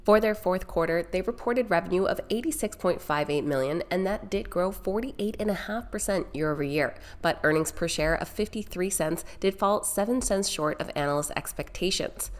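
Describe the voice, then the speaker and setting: A young woman with a slightly low voice, audibly congested and nasal from a sinus infection. She hosts a scripted stock market rundown in an upbeat way, speaking straight to her viewers. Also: moderate pace, few pauses. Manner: upbeat, direct address to viewers. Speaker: stock market video host. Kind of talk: scripted market news presenting.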